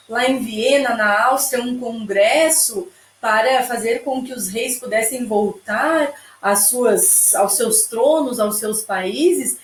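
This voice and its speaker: A woman's voice: fairly high pitch, natural voice. A woman playing a formal newspaper interviewer who asks deferential questions. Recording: echoey room.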